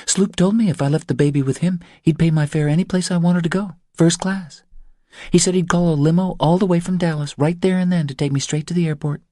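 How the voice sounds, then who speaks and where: A man voicing a battered young mother in an audiobook, soft and husky, earnest and pleading, confessing in short phrases. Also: a little high, fairly even intonation, brisk, no room sound, clean studio sound, voice pushed up, close to an everyday voice. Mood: anguished, indignant.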